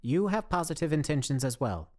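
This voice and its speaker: A man's voice: fairly high pitch, very animated, fairly quick, darkish tone. A man playing an earnest, socially awkward survivor in a radio play, speaking in stiff, wordy, over-precise phrases. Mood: earnest, formal. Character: earnest, socially awkward, overly formal male zombie survivor.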